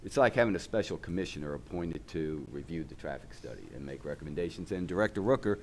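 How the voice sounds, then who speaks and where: A man chairing a public commission meeting, speaking in a measured, deliberate way. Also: extremely low pitch, animated, darkish tone, a little hiss or hum. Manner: measured, deliberate, directs the room. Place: large meeting room.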